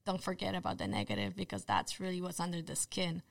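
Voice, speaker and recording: Polish-accented woman, thoughtful and measured. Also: on the low side, big pitch swings, gravelly. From Poland. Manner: thoughtful, measured, with fillers and restarts. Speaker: guest, social psychologist. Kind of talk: casual conversation.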